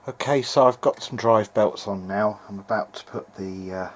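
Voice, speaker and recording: A man's voice: on the low side, moderate pace. A man informally talking through a hands-on repair in a video diary, hesitant and half muttering to himself as he works. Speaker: vintage hi-fi hobbyist narrating own repair video.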